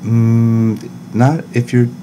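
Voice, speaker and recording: A man hosting a podcast in a studio, with a booming voice that gets loud when excited, talking in halting bursts full of fillers. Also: a crowd in the background. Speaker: podcast host. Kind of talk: casual interview chat. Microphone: close to a studio mic.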